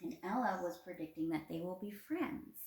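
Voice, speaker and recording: A woman's voice: lowish voice, animated, normal speed, darkish tone. Young female teacher for a children's read-aloud, encouraging delivery, echoey room sound. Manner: encouraging, prompting with simple questions. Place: small indoor room at home. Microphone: phone at arm's length. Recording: roomy, echoey phone recording.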